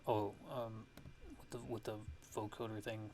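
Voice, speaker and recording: A man giving a casual ASMR music production tutorial, thinking aloud in a halting way as he works. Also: on the low side, flat delivery, a little mellow, slight background noise.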